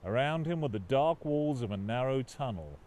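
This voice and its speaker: A man reading his own novel aloud as scripted audiobook narration, with a dramatic, expressive literary delivery. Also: medium pitch, moderate pace.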